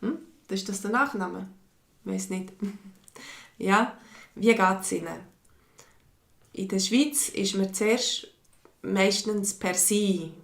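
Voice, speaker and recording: Swiss woman teacher, slow and patient, gravelly edge, roomy echo; live online lesson. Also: mid-range voice. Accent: mixed Swiss German. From Switzerland. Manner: slow, patient, reads viewers' comments aloud. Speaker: language teacher. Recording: echoey room.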